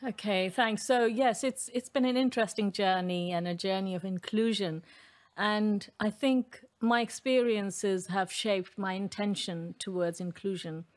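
A woman's voice: mid-range voice, measured pace. Woman in her fifties from India. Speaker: architect, guest. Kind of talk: panel interview answer. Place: room set up for broadcast. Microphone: studio mic, headphones.

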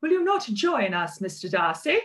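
A woman's voice: medium pitch, very animated, moderate pace, a bit bright. A woman playing a coy, snobbish young society lady in a period radio play, with an arch, affected manner. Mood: sweetly persuasive. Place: home kitchen, on a video call. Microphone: video call.

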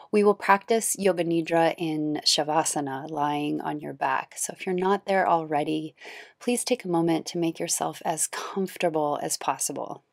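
A woman leads a guided meditation in a low voice with a noticeable creak. She speaks very slowly and gently, soothing the listener as a yoga nidra guide. Faint music runs behind her. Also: no room sound, studio quality. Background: background music.

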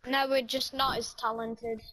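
A woman's voice: on the high side, little pitch movement, a little slow, pushed and bright, no room sound, low-quality recording. A young woman chatting casually with a friend while gaming, laid-back and a little dismissive. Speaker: gamer. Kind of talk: casual gameplay chat with a friend.

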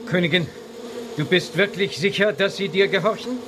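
A concerned space adventurer played by a man in a sci-fi radio play. He sounds serious and earnest in a high male voice, with clear buzzing effects behind. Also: normal speed, very noisy, close to an everyday voice. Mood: urgent. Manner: serious, urgent. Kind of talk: German children's audio drama.

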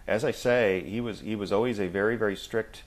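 A middle-aged American man reminiscing casually as a guest in an interview, speaking in a reflective, halting way. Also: fairly low pitch, fairly even intonation, poor audio. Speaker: guest, audio drama actor.

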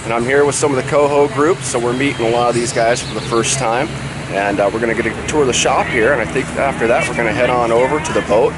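Casual sailing vlogger over loud wind. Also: male voice, medium pitch, quick pace. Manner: relaxed, talks while steering and giving crew instructions. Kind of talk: casual vlog narration. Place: sailboat cockpit and docks outdoors. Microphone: handheld camera at arm's length. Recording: loud wind and boat noise.

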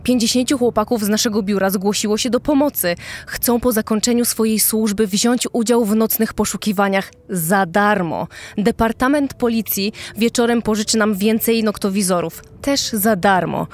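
A woman's voice: mid-range voice, moderate pace, close to an everyday voice. A woman playing an urgent, businesslike officer in a true-crime podcast drama, speaking matter-of-factly.

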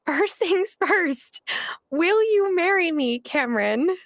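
An actress voicing a sincere, gentle young woman in love in a video game cutscene. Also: extremely high pitch, animated, slow pace, no room sound, clean studio sound, exaggerated voice. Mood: affectionate.

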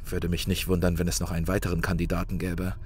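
Man playing a low-pitched, anxious, placating contestant. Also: completely flat delivery, fast talker, darkish tone, lightly acted. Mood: coaxing. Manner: hesitant, stammering, coaxing. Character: friendly, placating, anxious male reality-show contestant. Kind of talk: German horror audio drama acting.

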